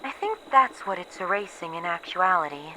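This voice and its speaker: A woman playing a weary, haunted researcher who is losing her memories, in a halting, introspective horror audio roleplay monologue. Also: fairly low pitch, animated, bright, forceful tone, slight background noise, low-quality recording. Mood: pensive, unsettled. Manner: halting, introspective, pausing between short phrases.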